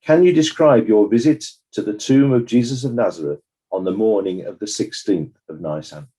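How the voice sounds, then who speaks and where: Man acting as mellow, formal inquest examiner. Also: fairly low pitch, natural voice, rough recording. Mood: formal, probing. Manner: formal, measured, official. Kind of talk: dramatized courtroom questioning.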